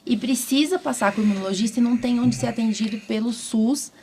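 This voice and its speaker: A woman's voice: on the high side, quick pace, a little mellow. A Brazilian woman doctor hosting a podcast, talking informally and often ending phrases with tag questions. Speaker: host and immunologist doctor. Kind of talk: conversational interview podcast. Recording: clearly noisy background.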